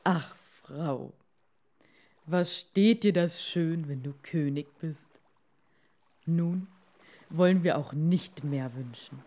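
A woman's voice: sits low, slow, pauses often, mellow, voice pushed down, full character voice. A woman voicing a meek, henpecked fisherman in a fairy tale, plaintive, sighing and hesitant. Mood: cautious, pleading. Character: meek, reluctant old-tale fisherman husband, henpecked and troubled.

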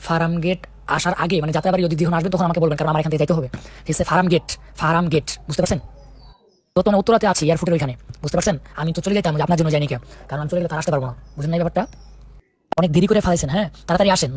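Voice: medium pitch, a little mellow. A speaker with a Bangladeshi accent in a casual phone conversation, insistently checking again and again that the listener follows.

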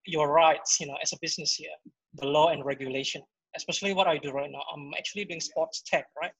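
A fairly high-pitched man from Indonesia talks on a video call with a husky, dry sound. His speech is casual and full of fillers, and he switches between two languages. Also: fairly bright tone, no echo, low-quality recording. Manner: casual, code-switching, many you knows. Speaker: entrepreneur panelist. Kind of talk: webinar panel answer.

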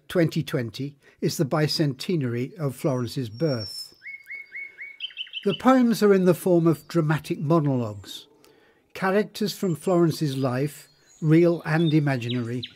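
A man reading a scripted documentary narration in a formal, measured manner. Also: a little high, darkish tone, slight background noise.

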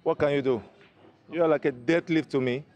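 Casting: a man as a cocky rival, higher voice, dismissive and taunting. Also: steady tone, a little fast, darkish tone, natural voice. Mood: mocking. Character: cocky rival, mocking and unafraid.

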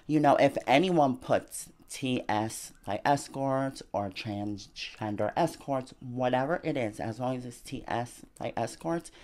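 A woman's voice: extremely low pitch, measured pace, few pauses, a little mellow. A woman in her twenties, from Argentina, talking candidly in an interview in a small bedroom, full of "you know" and "like" fillers, into a handheld mic. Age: 20s. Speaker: interview guest. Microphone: handheld mic held by interviewer.

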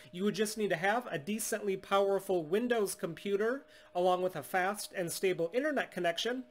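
A man in his thirties from the United States, a steady, salesy business owner explaining a tablet unlock to camera in a small room. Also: sits high. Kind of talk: scripted product demonstration. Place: small indoor room at home.

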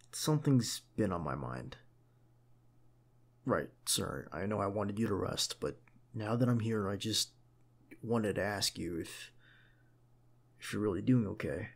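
A man playing a protective, caring bodyguard in an ASMR roleplay, talking conversationally and with gentle insistence to his boss. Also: on the low side, vocal fry, full character voice. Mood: hesitant, tender. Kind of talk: ASMR roleplay acting, one side of a dialogue.

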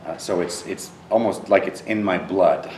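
A man in his thirties with a very deep voice answers interview questions with earnest warmth, often restarting and repeating words as he thinks. He sounds set back from the microphone. An empty theater adds a roomy echo and a faint hum of ambience. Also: normal speed, recorded from a distance. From Texas. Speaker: theater director.

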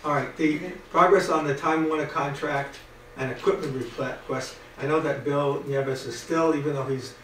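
A man's voice: a little high, steady tone, normal speed, a bit bright. A man leads a board meeting in a casual, repetitive way. He sits far from the mic in a small studio room with strong echo, and a faint hiss runs under his voice. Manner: repeats himself, casual. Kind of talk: meeting talk. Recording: very echoey, distant, faint hiss.

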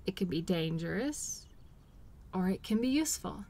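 A woman playing a caring, patient girlfriend in an intimate role-play audio, speaking gently and reassuringly to comfort her partner. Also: mid-range voice, expressive, moderate pace. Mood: calm, thoughtful.

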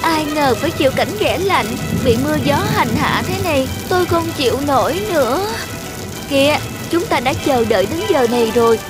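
A vain young cartoon sunflower with a high, girlish pitch. The delivery is expressive and full of exclamation, made for children's animation. Music plays behind the voice. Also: moderate pace, low-quality recording. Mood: miserable, complaining. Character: excited, vain young female sunflower, later despairing.

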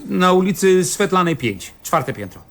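Radio play casting: a timid, apologetic eyewitness, lightish high register, halting and stammering, very deferential. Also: male voice, big pitch swings, fairly bright tone, heavily acted. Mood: confiding. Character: meek, apologetic, flustered male eyewitness. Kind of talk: radio drama acting.

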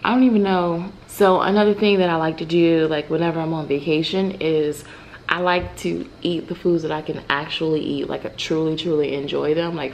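A woman's voice: sits low, vocal fry, slight background noise, poor audio. A woman in her thirties chatting casually to her viewers about her groceries at home, with lots of fillers. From United States. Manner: chatty, talks straight to the viewers with many fillers. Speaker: vlogger. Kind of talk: casual grocery haul vlog. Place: bright room at home, indoors.

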